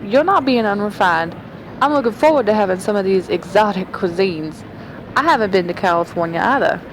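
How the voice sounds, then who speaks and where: A woman's voice: lively intonation, brisk, lightly acted. A woman playing a composed, genteel, insistent amateur sleuth in a period radio mystery. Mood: poised, insistent. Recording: train rumble behind the voice.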